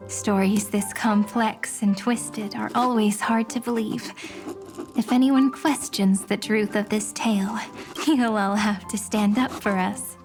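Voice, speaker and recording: Soft, slow-spoken gentle young woman. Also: medium pitch, fairly even intonation, faint music behind. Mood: playful, fond. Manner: soft, poetic, unhurried. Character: gentle wistful young woman bidding friends farewell. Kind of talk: scripted video game dialogue.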